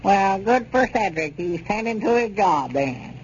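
A noisy old radio broadcast carries a high-pitched, fretful old storekeeper. He drawls in a folksy rural Southern accent and stubbornly defends himself, in 1930s radio comedy style. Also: animated, measured pace, muffled recording. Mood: fretful, defensive.

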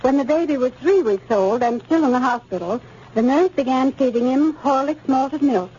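A woman's voice: sits high, moderate pace, warm tone, slightly rough. An actress playing an earnest, grateful mother in a 1930s radio advertising testimonial. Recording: noisy muffled 1930s radio recording.

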